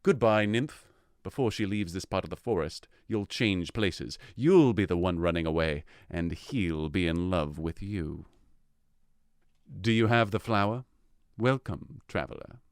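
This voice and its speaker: A man's voice: sits low, animated, a little slow, clear, resonant tone, no echo, clean studio sound. A man playing a scheming, commanding fairy king in an audio drama, speaking in a grand, dramatic manner. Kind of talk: theatrical audio drama acting.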